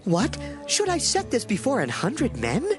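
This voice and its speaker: A man playing an anxious, excitable servant in a dramatized scripture reading, with a husky voice. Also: sits high, measured pace, full character voice. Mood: incredulous. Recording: faint music behind the voice.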